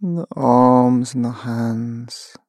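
A man leading a guided mindfulness meditation, giving gentle, measured instructions with long pauses. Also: mid-range voice, dry recording.

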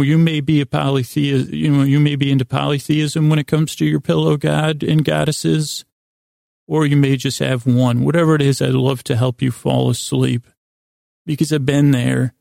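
A man hosting a bedtime podcast in a gravelly, creaky voice, with a goofy, meandering, halting monologue that keeps interrupting itself. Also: a little high, darkish tone, dry recording, studio quality. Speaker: podcast host.

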